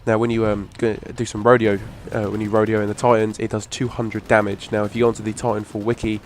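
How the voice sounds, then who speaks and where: A hoarse young man, rambling casually through a video game weapon review. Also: lowish voice, flat delivery, fast talker, slight background noise. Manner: rambling, restarts a lot, casual swearing. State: hoarse from a cough. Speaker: gaming channel host. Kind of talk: casual gameplay commentary.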